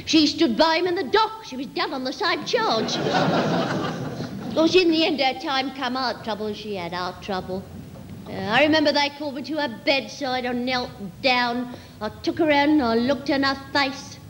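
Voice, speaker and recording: A woman's voice: high-pitched, normal speed, in a large hall, background noise, voice pushed down, close to an everyday voice. A woman performing a comic monologue as a sentimental working-class Cockney, rambling and repeating earnestly while reminiscing. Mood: mournful, earnest.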